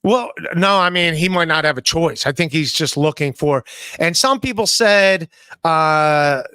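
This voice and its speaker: Man in his forties, podcast host, self-deprecating banter, high bright voice. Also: a little slow, clear, resonant tone, dry recording, studio quality. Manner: self-deprecating, reads chat messages aloud. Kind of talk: casual chat. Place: home room, indoors. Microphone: studio mic with headphones, video call.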